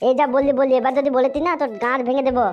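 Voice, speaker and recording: Insolent little cartoon boy female performer, very fast, muffled audio. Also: sits high, little pitch movement, soft background music, natural voice. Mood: cocky, defiant. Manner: whining, pleading, insolent. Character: bratty foul-mouthed little cartoon boy, whiny and demanding. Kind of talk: comedy cartoon dubbing.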